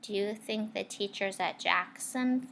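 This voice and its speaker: A woman teacher reading a picture book aloud, expressive, pausing to put questions and asides to her young listeners. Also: medium pitch, creaky, a little hiss or hum. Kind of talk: read-aloud storytelling with asides to children.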